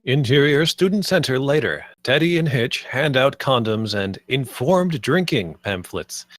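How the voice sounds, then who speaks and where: A man's voice: mid-range voice, expressive, unhurried, a bit bright, no echo. Man reading screenplay directions, dry sound. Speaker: stage-direction narrator. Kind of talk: scripted narration at a table read.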